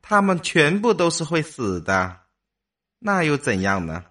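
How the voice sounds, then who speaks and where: A man's voice: a little high, big pitch swings, unhurried, a bit bright, far from the mic, full character voice. A man playing an inquisitive, matter-of-fact immortal human mind in a science fiction audio drama.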